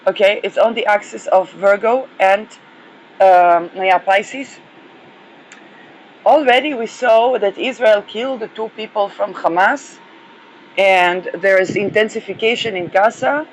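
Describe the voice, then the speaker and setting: A woman's voice: medium pitch, measured pace, rough recording. A woman hosting an astrology podcast, losing her voice, talking in a rambling solo monologue full of hesitations and restarts. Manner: hesitant, many fillers and restarts.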